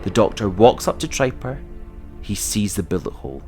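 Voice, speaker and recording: A man's voice: lowish voice, sing-song, moderate pace, over loud music. A man reading scripted narration as the narrator of an audio drama.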